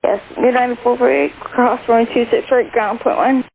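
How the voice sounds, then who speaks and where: A woman's voice: on the high side, steady tone, gravelly. Muffled woman air traffic controller on radio. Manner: halting, repetitive. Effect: aviation radio. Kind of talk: radio phraseology, clipped clearances.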